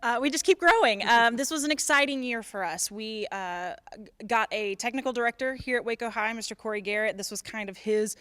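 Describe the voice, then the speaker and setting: A woman's voice: on the high side, a little fast, a bit bright, smooth, dry recording. A woman speaking enthusiastically as a school theatre director giving interview answers.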